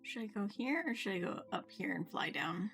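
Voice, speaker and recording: Female gameplay streamer: casual self-talk, medium pitch with animated inflection, music in the background. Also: a little fast, vocal fry. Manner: musing aloud to herself. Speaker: game streamer. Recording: game music behind the voice.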